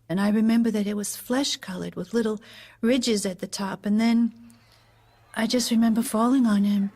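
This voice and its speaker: An actress playing a grieving widowed First Lady in an audio drama, halting and fragile as she recalls events. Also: mid-range voice, steady tone, darkish tone, natural voice. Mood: grief-stricken. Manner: halting, fragile, dazed recollection.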